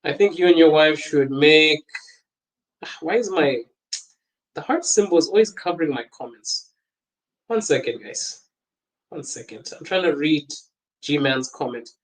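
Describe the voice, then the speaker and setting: A man's voice: on the high side, unhurried, pauses often, low-quality recording. A young man from Botswana hosting a casual livestream podcast, talking loosely, laughing often and reading viewer comments. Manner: animated, laughing often, wandering and talkative.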